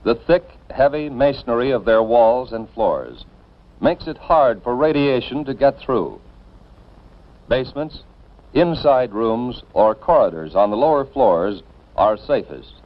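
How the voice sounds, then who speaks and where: An American man reading scripted 1950s instructional film narration in a measured, formal, instructive manner. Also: mid-range voice, expressive. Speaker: narrator of a civil defense educational film. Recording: dull, band-limited 1950s film soundtrack.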